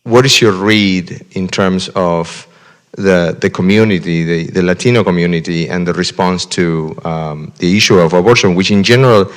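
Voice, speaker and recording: A man's voice: low voice, moderate pace, in a large hall. A man from Argentina moderating a community panel discussion, speaking hesitantly with many fillers and restarts.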